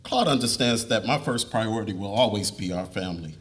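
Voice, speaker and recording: A man's voice: lowish voice, animated, brisk, fairly bright tone. A man playing an earnest husband and father in a dramatic reading, explaining and reassuring as he confesses.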